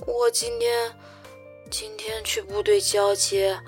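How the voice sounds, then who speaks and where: A woman playing an awkward, soft-hearted young female soldier in an audio drama, with a hesitant, bumbling manner. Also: on the high side, very animated, takes it slowly, vocal fry, soft background music, full character voice. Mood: drunk, childlike. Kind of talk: modern audio drama acting.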